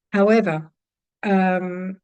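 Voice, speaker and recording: A woman's voice: fairly low pitch, a little mellow, clear, resonant tone, no room sound, highs cut off. An older Australian woman talking to camera from her home, chatty and friendly with many fillers and restarts. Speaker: psychic channel host. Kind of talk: casual talk to camera. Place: home room with shelves of card decks.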